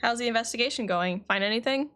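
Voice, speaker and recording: A woman's voice: on the high side, quick pace, over music. Woman livestreamer reading game dialogue aloud. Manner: self-correcting as she reads.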